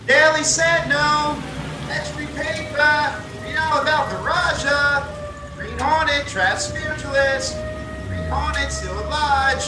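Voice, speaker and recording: A man's voice: extremely high pitch, slow pace. A man playing a street newsboy hawking papers in an old-time radio drama. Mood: calling out. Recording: distant, echoey, under loud music.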